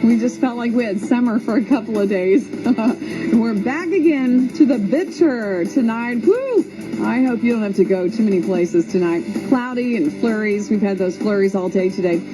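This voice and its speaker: A woman's voice: fairly high pitch, animated, moderate pace, music in the background, rough recording. A woman hosting a radio show in a chatty, encouraging, conversational way. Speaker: radio host.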